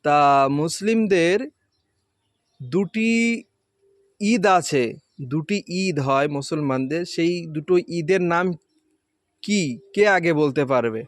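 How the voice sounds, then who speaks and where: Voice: medium pitch, takes it slowly, pauses often, dry recording. A quiz host, informally questioning children and patiently explaining and repeating the answers.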